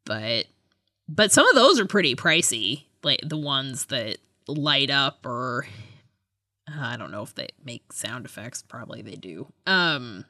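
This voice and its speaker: A woman with a low, bright voice talks casually as a podcast co-host. She rambles in a chatty way, hedging and letting sentences trail off, and the sound is close and dry. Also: sing-song, unhurried, no room sound. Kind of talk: casual fan chat.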